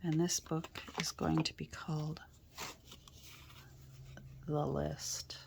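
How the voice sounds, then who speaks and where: A woman with a stuffy, congested cold, chatting casually while she crafts, rambling softly and often dropping into a whisper. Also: deep voice, little pitch movement, slow pace, vocal fry, noisy background. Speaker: journal-making crafter. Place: indoors at a craft worktable.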